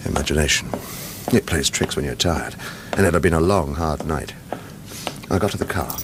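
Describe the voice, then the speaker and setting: Radio thriller lead: a stoic former government killer, deep and understated yet varied in pitch, world-weary. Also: male voice, background noise. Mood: tense, watchful. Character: weary ex-government assassin on the run, guarded and stoic. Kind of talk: radio thriller acting with first-person narration.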